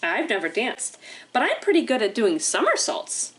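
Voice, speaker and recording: Woman reading as high, proud old storybook rock. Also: wildly swooping pitch, moderate pace, fairly bright tone, poor audio. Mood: cheerfully proud. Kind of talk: children's storybook read-aloud.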